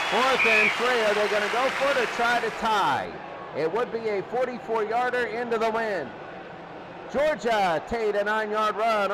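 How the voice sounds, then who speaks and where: Male sports announcer, high register. Terse live football calls over clear noise. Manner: terse calls, repeating down and yardage. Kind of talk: live American football commentary. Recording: clearly noisy recording.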